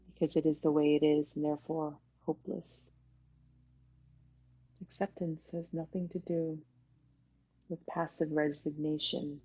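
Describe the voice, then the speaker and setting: A woman leading a guided meditation as its teacher, talking in an unhurried way with pauses between short phrases. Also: low voice, warm tone. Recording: dull lo-fi sound, music behind. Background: music.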